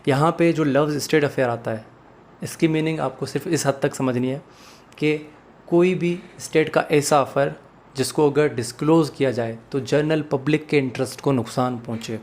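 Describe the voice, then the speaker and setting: A man's voice: fairly high pitch, moderate pace, a little mellow. A man with a Pakistani accent giving a methodical explanatory lecture, mixing in English legal terms and setting out points one by one. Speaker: law lecturer.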